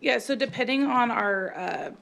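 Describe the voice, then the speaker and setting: A woman's voice: on the high side, animated, moderate pace, vocal fry, a bit bright. An American woman on city staff explaining plans and answering questions in a meeting.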